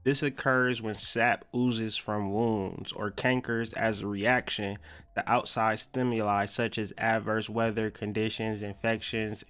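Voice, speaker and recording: A man's voice: fairly low pitch, fairly bright tone, clear, resonant tone. A man hosting a podcast, mixing casual slangy commentary with reading aloud and repeating phrases for emphasis. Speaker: podcast host.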